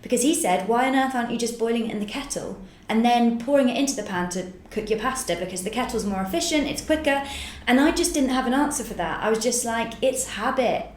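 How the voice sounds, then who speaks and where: A woman's voice: a little high, animated, quick pace. A woman co-hosting a casual podcast, chatty and joking as she talks in a small office. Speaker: podcast co-host. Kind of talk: casual chat. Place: small echoey office by a street. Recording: echoey room with faint street noise.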